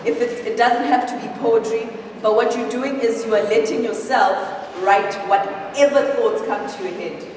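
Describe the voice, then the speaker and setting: A woman's voice: on the high side, normal speed, few pauses. A woman leading a writing workshop, asking the students questions and mixing in local-language words. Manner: lively teacher, asks questions, mixes in local-language words. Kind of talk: leading a class exercise. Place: school hall. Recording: echoey hall, distant mic, background noise.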